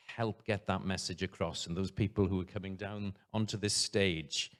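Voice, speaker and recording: Guy, head teacher, kinda low voice, earnest talk on stage, lots of pauses. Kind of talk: conference talk.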